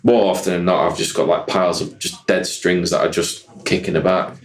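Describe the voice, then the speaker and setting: A man's voice: low-pitched, flat delivery, a little fast, roomy sound. A man, a guest musician rambling in casual podcast chat and repeating "like I said". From Yorkshire, England. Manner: rambling, keeps saying "like I said".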